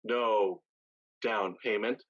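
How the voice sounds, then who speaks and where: An actor plays a wry male pirate lord courting his bride. His voice is husky and unhurried, and the sound is dull and lo-fi. It is stage drama delivery. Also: on the low side, fairly even intonation, a bit bright, full character voice. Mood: wry, teasing. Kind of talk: theatrical staged reading.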